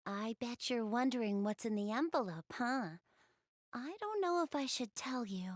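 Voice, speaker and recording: A woman voicing a shy, easily flustered young goddess in an anime video game, speaking softly and hesitantly to the player. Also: fairly high pitch, expressive, no room sound, clean studio sound, natural voice. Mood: shy, hopeful. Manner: hesitant, bashful, halting.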